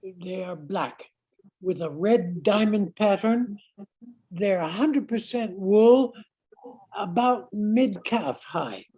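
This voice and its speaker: Prim, easily embarrassed husband played by an older woman: husky, slow, stammering, amateur radio comedy. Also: mid-range voice, mellow, low-quality recording. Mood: fretful. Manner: stammering, indignant. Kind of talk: amateur comic radio play acting.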